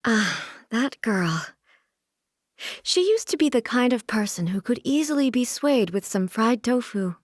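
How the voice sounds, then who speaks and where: A woman's voice: fairly high pitch, big pitch swings, dry recording, clean studio sound. A woman playing a stern, regal deity ruler in a video game, speaking in a formal, composed, stately way. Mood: musing, reserved. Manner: formal, stately, measured delivery. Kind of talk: scripted video game character voice lines.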